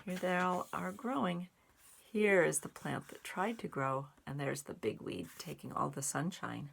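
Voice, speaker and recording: A woman reading a children's picture book aloud in an expressive, deliberate way for young listeners, with little asides. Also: deep voice, vocal fry, a little hiss or hum. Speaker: storybook reader and sign language teacher.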